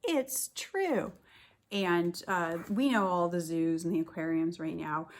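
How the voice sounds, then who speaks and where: A woman teacher reading a picture book aloud to young children, expressively and deliberately, drawing out her words. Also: fairly low pitch. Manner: deliberate, animated storytelling with drawn-out words.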